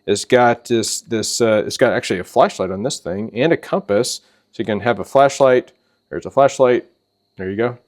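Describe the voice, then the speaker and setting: A man hosting a casual product walkthrough, talking in an informal, halting and rambling way. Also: medium pitch. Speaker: host of a preparedness channel.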